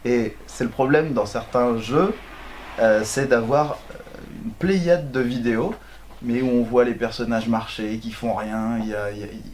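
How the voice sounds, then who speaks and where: A man's voice: medium pitch, moderate pace, darkish tone, a little hiss or hum. A man talking as a guest on a radio show, hesitant and often restarting his sentences. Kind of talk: casual radio chat.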